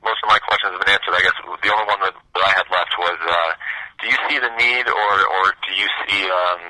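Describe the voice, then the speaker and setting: Low, fast-talking male reporter. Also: fairly even intonation, sharp tone, no echo, low-quality recording. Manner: rambling, rephrasing questions as he goes. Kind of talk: asking questions on a press conference call. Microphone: telephone line.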